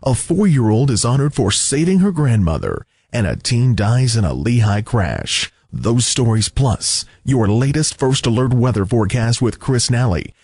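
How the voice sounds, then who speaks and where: A male television announcer delivers scripted promos with punch and polish. His voice has a husky grain and swings widely in pitch. It sounds dry and close, like a studio voice-over. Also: darkish tone, no room sound. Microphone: close studio voice-over microphone.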